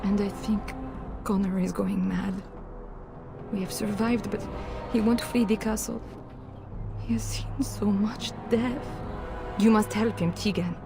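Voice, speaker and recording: Frightened noblewoman: anxious, halting pleas, mid-pitched voice over loud score. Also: steady tone, vocal fry, music in the background. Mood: desperate. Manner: anxious, halting, breathless pleading. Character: frightened noblewoman pleading for help.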